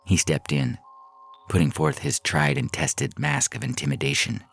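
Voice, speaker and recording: A man narrating science fiction stories in a measured, deliberate way, with a gravelly voice. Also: medium pitch, big pitch swings. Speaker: podcast story reader. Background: music.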